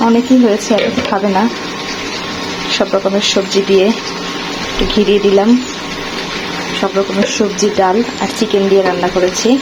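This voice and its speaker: A woman's voice: mid-range voice, flat delivery, moderate pace, pauses often. A woman narrating her home cooking step by step in a chatty, casual way. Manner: chatty, step-by-step kitchen commentary. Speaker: cooking vlogger. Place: home kitchen. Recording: loud background noise.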